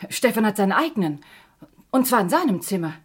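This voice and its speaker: Very husky, emphatic, indignant mother. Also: medium pitch, big pitch swings, fairly quick. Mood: indignant, defensive. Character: indignant, strong-willed mother of a son. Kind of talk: German radio crime drama acting.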